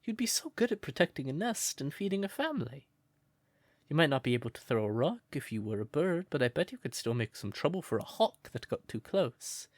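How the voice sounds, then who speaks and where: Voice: medium pitch, sing-song, fairly quick, no room sound. A performer voicing a shy, smitten crow turned human in an intimate comfort roleplay, hesitant and stammering, naively earnest. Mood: earnest, admiring.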